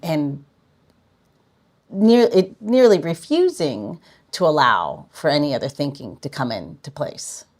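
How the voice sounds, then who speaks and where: Woman host, very low voice, casual halting speech. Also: sing-song, a little mellow. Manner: hesitant, many restarts. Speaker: podcast host. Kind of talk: casual interview chat.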